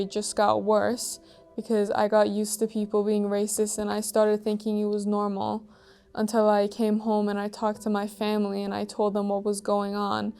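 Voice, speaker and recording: A teenage girl from Iran answers interview questions earnestly and softly, often saying "like" and "um". Her pitch stays very flat, and her tone is mellow and smooth. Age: teens. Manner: earnest, soft-spoken, with frequent "like" and "um" fillers.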